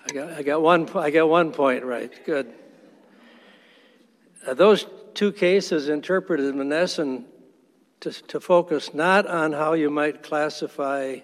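A man arguing as attorney for the respondent before an appellate court, formal and measured, respectfully firm when he disagrees. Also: a little high. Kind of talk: appellate oral argument. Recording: long courtroom reverb.

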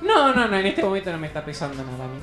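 A man's voice: sits high, huge pitch swings, rapid-fire, sharp tone, faint music behind, heavily acted. A man playing a stepmother actress in radio comedy, a gushing, theatrical diva with a declamatory manner. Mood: gushing, grand.

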